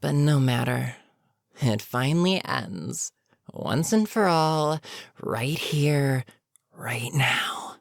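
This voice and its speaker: A woman playing an older, weary supervillain in an audio roleplay, menacing yet protectively concerned, with a measured, sardonic, sighing delivery. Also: very deep voice, animated, vocal fry, no room sound, studio quality, exaggerated voice. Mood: smug, menacing. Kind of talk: dramatic audio roleplay monologue.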